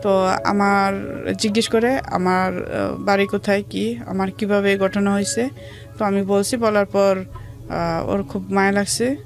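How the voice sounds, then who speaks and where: Female interview guest, Bangladeshi accent, medium pitch, hesitant personal storytelling over quiet music. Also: fairly even intonation, measured pace. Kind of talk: recounting own life story in an interview.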